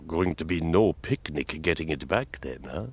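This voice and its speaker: An actor playing a weary, sly old colonel in a video game, slow and wry, with a husky, breathy voice. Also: male voice, extremely low pitch, expressive, full character voice. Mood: wry, resigned. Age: older. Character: weary old male colonel, retired-soldier type, sly.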